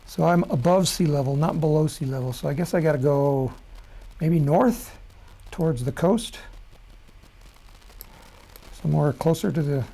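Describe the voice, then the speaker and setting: A middle-aged man from Chicago giving a casual screen-share demo of rocketry software, rambling and correcting himself as he goes. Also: fairly high pitch, normal speed, gravelly, pauses often, breathy edge, rough recording.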